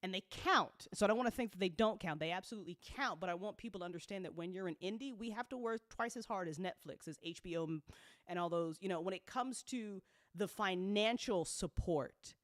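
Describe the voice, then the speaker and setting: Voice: medium pitch, a little fast, fairly bright tone, no room sound, studio quality. A podcast creator talking frankly and informally to listeners, swearing freely and repeating points for emphasis. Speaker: audio drama creator and network host. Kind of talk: candid informal business update to listeners.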